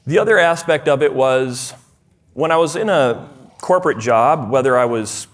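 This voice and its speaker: A man in his forties with a Minnesotan accent, speaking at a church conference in a mid-pitched voice. He talks in a loose, conversational way, with fillers and restarts. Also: expressive, normal speed. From Minnesota, USA. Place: church hall.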